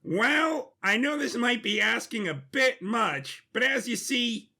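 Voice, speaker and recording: Man putting on a high, fussy, nervous bureaucrat. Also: animated, takes it slowly, creaky, sharp tone, full character voice. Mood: apologetic, formal. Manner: awkward, fussy, polite. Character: nervous, apologetic, chirpy male royal bureaucrat messenger.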